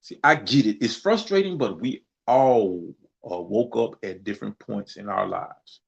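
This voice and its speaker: Young man with a Mississippi Southern drawl, heavy vocal fry, reflective and conversational, repeats himself for emphasis. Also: on the low side, animated, a little slow, dull audio. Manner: reflective, repeats phrases, laughs easily. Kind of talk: casual conversation.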